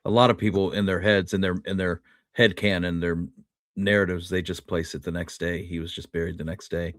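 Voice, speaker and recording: A man's voice: sits low, steady tone, fairly quick, dry recording, studio quality. A man co-hosting a casual history discussion, explaining points in an everyday conversational way. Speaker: history show co-host.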